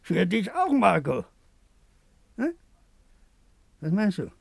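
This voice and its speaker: An actor playing a frail, pleading old man in a 1950s radio play, halting, wistful and coaxing. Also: high voice, wildly swooping pitch, vocal fry, husky, no echo, exaggerated voice. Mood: pleading, hopeful. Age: older.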